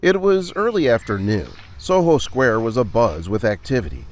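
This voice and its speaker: A man narrates an audio story in a scripted, dramatic storytelling style. His phrasing is deliberate and theatrical, and his pitch rises and falls widely. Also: big pitch swings, low-quality recording.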